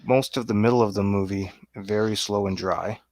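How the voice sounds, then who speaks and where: A man's voice: lowish voice, steady tone, moderate pace, darkish tone, dry recording, clean studio sound. A man giving his opinions casually as a guest on a film review.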